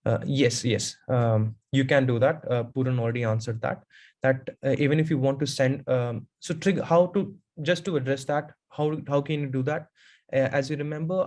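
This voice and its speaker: A man speaking as a guest presenter in an online webinar, explaining step by step and filling pauses with "uh" over a video call. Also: mid-range voice, darkish tone. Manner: explains step by step, many "uh" fillers.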